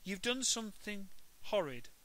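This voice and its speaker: Guy doing a bossy dad voice, booming, a bit higher than normal. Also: sing-song, normal speed, sharp tone, heavily acted, low-quality recording. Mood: stern. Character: stern loud father at dinner.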